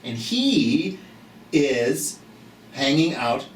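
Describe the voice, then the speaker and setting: Slow, animated children's storytime host in roomy sound. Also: medium pitch. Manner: slow, expressive, speaking directly to children. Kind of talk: children's picture book read-aloud with chatty asides. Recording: roomy, distant mic, background noise.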